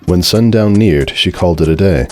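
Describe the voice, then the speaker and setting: A man's voice: sits low, normal speed, darkish tone, soft background music. A man reading a scripted story as narrator, in a dramatic storytelling style.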